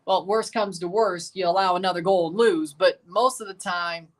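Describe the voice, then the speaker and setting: A man's voice: high-pitched, steady tone, moderate pace. Young man joking through a live match. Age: 20s. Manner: casual, joking banter with viewers. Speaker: livestream host. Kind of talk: live match watchalong commentary.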